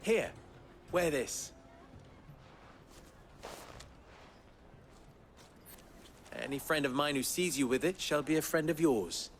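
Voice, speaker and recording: A man's voice: on the high side, normal speed, slight background noise, close to an everyday voice. A man playing a suave, wry underworld fixer in a video game cutscene, with arch, formal phrasing. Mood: playfully mocking.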